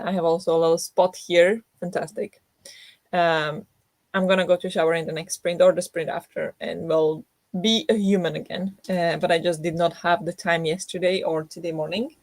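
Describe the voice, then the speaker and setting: A woman's voice: on the low side, a little mellow, no room sound. A young woman hosting a reading livestream, just woken up and groggy, chatting casually to viewers with many fillers and restarts. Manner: rambling, self-deprecating, many fillers and restarts. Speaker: book vlogger hosting a reading sprint.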